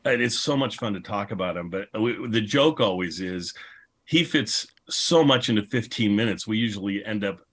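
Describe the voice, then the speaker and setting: Low-voiced man chatting as host. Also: lively intonation, normal speed, a bit bright. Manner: chatty, informal, rambling. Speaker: podcast host and panel moderator. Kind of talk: casual panel chat. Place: online video call panel.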